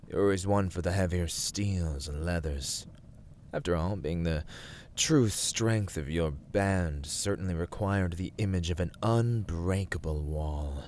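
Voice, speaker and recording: A man playing a smug young villain warlord in an ASMR roleplay, teasing and condescending in formal, deliberate phrases. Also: sits low, darkish tone, slight background noise. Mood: smug approval. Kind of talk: ASMR roleplay, scripted dramatic monologue.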